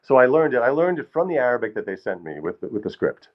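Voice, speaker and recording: A man's voice: mid-range voice, big pitch swings, quick pace, highs cut off. A middle-aged man telling funny, rambling stories about acting to a live audience, with lots of restarts. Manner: rambling, self-deprecating, many restarts. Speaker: storyteller performer. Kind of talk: live comedic storytelling. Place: small hall with live audience.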